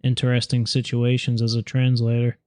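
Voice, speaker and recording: A male podcast host with a smooth, even voice that stays flat in pitch. He sounds friendly and casual, and the sound is dry and close. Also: fairly quick, dry recording. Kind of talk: casual interview hosting.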